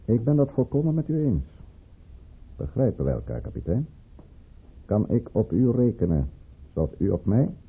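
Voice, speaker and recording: An actor playing a shrewd, courteous governor and conspirator in a Dutch radio play, measured and controlled. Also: male voice, fairly low pitch, big pitch swings, gravelly, slightly rough, phone-quality sound, exaggerated voice. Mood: conspiratorial, assured. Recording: noisy band-limited old radio recording.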